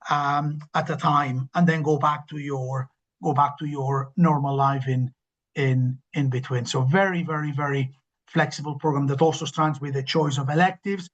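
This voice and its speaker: Academic director type, male, slightly high voice, emphatic and wordy, muffled call audio. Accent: non-local, not from the host city. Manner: fluent, emphatic, with repeated words and restarts. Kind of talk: webinar information session answer.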